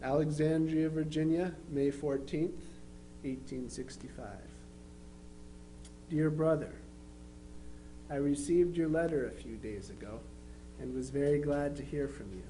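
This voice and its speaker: A man playing a plainspoken twenty-three-year-old Union soldier, reading his affectionate letters home in an unhurried, matter-of-fact way. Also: fairly high pitch, fairly even intonation, warm tone, lightly acted. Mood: fond, conversational. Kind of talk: dramatized reading of historical letters. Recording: faintly noisy live recording with hum.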